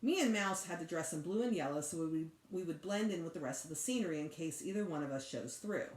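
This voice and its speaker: Echoey, animated woman reading a story aloud. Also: deep voice, fast talker. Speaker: teacher reading aloud to children. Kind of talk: expressive storybook read-aloud. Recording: roomy echo.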